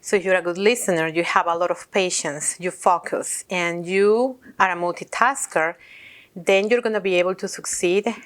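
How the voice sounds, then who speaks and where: A woman's voice: lowish voice, steady tone, normal speed. A woman from Peru who owns a roofing company, speaking earnestly and reflectively in an interview. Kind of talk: interview to camera.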